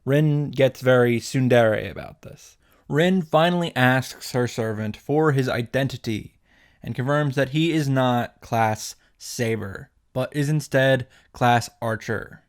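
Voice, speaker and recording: A man hosting a casual, scripted comedic recap, swearing freely and retelling things in an exaggerated, mocking way. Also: medium pitch. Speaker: video host reacting to and summarizing a visual novel.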